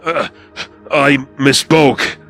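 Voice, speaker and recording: A man's voice: medium pitch, fairly even intonation, extremely slow, fairly bright tone, soft background music. A man voicing a scrawny, cruel male reptilian alien underling in a sci-fi audio story. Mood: cowed, pained. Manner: contemptuous, hissing.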